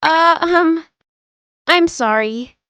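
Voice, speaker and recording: A woman voicing a shy, clumsy teenage girl in a manga dub, bubbly and quick to apologize. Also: extremely high pitch, animated, pushed and bright, no echo, exaggerated voice. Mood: apologetic.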